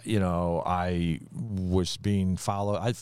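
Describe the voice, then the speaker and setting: A man's voice: low voice, fairly even intonation, slow, a little mellow, clean tone, no room sound. A man in casual conversation, earnestly telling his own story and acting out the dialogue in it. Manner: earnest testimony, acts out quoted dialogue.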